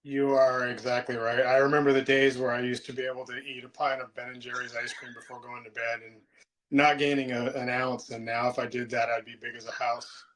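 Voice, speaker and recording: Relaxed chatty man in his fifties. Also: mid-range voice, brisk, poor audio. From United States. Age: 50s. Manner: relaxed, chatty storyteller with frequent ums and restarts. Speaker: interview guest. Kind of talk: casual interview chat.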